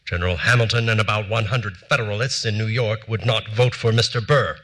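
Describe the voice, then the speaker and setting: Brief: a cold, exacting period politician; crisp diction, sharp bright tone. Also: male voice, fairly low pitch, fairly even intonation, brisk. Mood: formal. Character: formal, cold early American politician, man. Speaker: stage actor reading letters. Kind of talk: dramatic period letter reading. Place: large theater stage.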